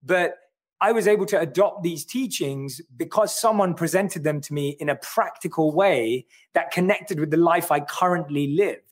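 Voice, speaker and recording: A man with a London accent who talks through his ideas in an earnest, reflective way. His voice sits a little high for a man. He speaks as an author in a livestream chat. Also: moderate pace. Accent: London English. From London, England. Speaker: author and guest. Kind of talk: livestream conversation.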